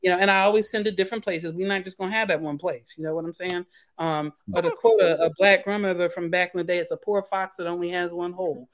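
A woman's voice: fairly low pitch, steady tone, fast talker. Rambling woman podcast guest. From Philadelphia, USA. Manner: rambling, with many fillers and restarts. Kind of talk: casual podcast chat.

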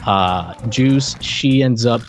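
A man co-hosting a casual game review podcast, explaining trivia in a halting, conversational way. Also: mid-range voice. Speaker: podcast co-host.

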